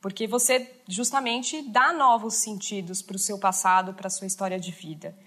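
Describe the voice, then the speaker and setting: A woman's voice: medium pitch, normal speed, a bit bright. A woman with a Brazilian accent explaining casually, as an educator does. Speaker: educator explaining autobiography writing.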